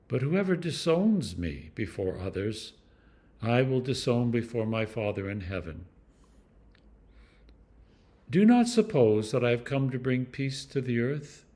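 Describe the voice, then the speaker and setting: A man's voice: medium pitch, darkish tone. Man solemnly reading scripture. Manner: measured, deliberate phrasing.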